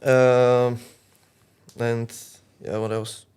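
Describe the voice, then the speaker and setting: A man's voice: medium pitch, little pitch movement, extremely slow, darkish tone, clean tone. A man in his twenties from Bulgaria, a podcast guest chatting casually and laughing often. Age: 20s. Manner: laid-back slangy talk, laughs often. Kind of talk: casual chat.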